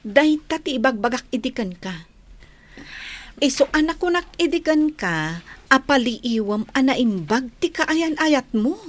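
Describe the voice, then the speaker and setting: A gossipy, concerned female friend speaks in a very high, animated voice. She has an Ilocano accent and a dramatic radio soap delivery. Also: a little slow. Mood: insistent, revealing. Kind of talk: Filipino radio drama acting.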